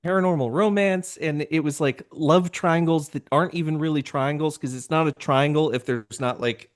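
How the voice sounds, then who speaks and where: Enthusiastic higher-voiced man. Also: normal speed. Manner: enthusiastic, gushing. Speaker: podcast host, panel guest. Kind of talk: casual panel conversation. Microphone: video call.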